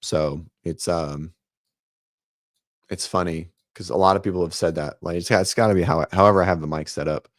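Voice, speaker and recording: A man with a raspy voice hosting a casual fitness livestream from his home office, rambling with many ums and restarts. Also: sits low, fairly even intonation, moderate pace, dry recording, clean studio sound. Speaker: host of a fitness livestream show. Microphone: set-up studio mic.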